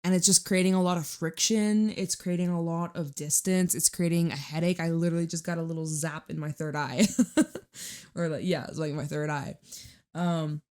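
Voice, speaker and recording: A woman with a raspy, hoarse voice giving a chatty, reassuring tarot reading to her viewers and swearing now and then. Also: lowish voice, steady tone, normal speed, a little mellow. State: hoarse from screaming. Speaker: tarot reader.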